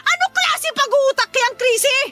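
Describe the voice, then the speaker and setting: A woman's voice: very high-pitched, normal speed, sharp tone. An actress with a Filipino accent playing an exasperated, confrontational woman neighbour in a radio drama. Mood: hurt, indignant. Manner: indignant, confrontational, demanding.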